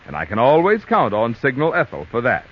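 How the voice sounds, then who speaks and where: A man's voice: mid-range voice, wildly swooping pitch, a little fast, husky. A man delivering a folksy testimonial as an announcer in a 1940s radio advertisement. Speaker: commercial announcer. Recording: muffled, faintly noisy old radio recording.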